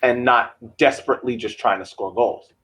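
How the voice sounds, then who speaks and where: A man with a husky, breathy voice debating soccer tactics on a podcast. He presses his point, repeats himself and keeps talking over the others. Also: medium pitch, moderate pace, a bit bright. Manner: argumentative, talks over others, repeats phrases. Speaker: podcast co-host. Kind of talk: casual sports debate.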